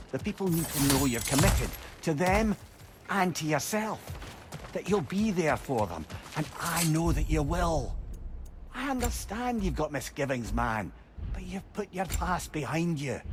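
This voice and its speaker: An actor playing a chatty, wise and loyal companion with a Scottish accent and a wry, earnest manner. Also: male voice, on the high side, sing-song, measured pace, background noise.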